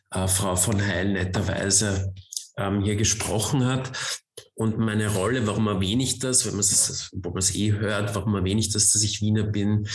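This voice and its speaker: A man's voice: lowish voice, roomy sound. A man with a Viennese accent speaking as a guest at a panel talk, thinking aloud and often restarting his sentences. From Vienna, Austria.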